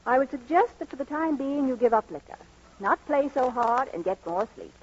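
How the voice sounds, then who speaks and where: An actress playing a brisk, skeptical woman doctor, firm and clinical, in a radio romantic comedy. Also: high-pitched, lively intonation, a little hiss or hum. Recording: dull lo-fi old radio recording.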